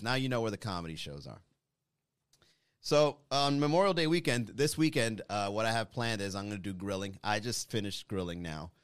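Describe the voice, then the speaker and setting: Chatty man in his thirties, low voice. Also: clear, resonant tone, dry recording, studio quality. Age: 30s. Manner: rambling, self-deprecating, chatty. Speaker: comedian talking to viewers. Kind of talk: casual monologue to an online audience.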